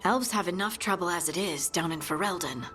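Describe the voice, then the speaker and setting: An actress playing a devout, earnest dwarven scout in a video game cutscene. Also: female voice, lowish voice, a little fast, fairly bright tone, soft background music, close to an everyday voice. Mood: unsettled, earnest.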